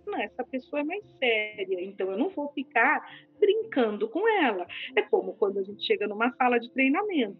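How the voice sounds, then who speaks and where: Breathy Brazilian woman on a lo-fi line. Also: fairly high pitch, fairly quick. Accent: Brazilian Portuguese. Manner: animated, acts out quoted people. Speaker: speech therapist guest. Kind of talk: podcast conversation. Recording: phone-like lo-fi audio with faint music.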